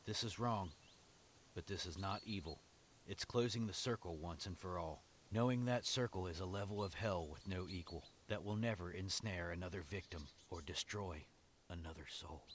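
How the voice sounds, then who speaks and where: A man playing a young executioner guard in an audio drama, solemn and commanding, speaking in measured, formal, grave phrases. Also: low voice, a little hiss or hum, lightly acted. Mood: grave, resolute. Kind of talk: dramatic audio fiction acting.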